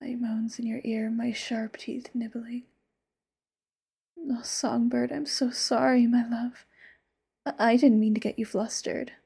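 Woman doing an obsessive undying lover, breathy whisper, gravelly fry, slow, dark tone. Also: on the high side, little pitch movement, full character voice. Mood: teasing whisper. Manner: intimate, sweetly menacing, sudden flares. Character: obsessive immortal woman, possessive doting lover. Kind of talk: whispered audio roleplay.

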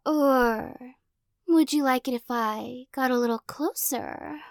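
A young woman voicing a possessive, teasing girl who corners the listener in an audio roleplay, giggling and coaxing, often dropping into soft whispers. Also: high voice, slow, gravelly, no echo, studio quality, exaggerated voice.

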